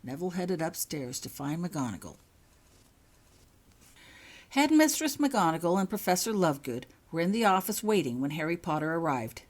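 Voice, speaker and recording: A woman's voice: lowish voice, sing-song, moderate pace, a little mellow. A woman narrating a scripted story aloud in an audiobook-like reading. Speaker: author reading own fan fiction aloud.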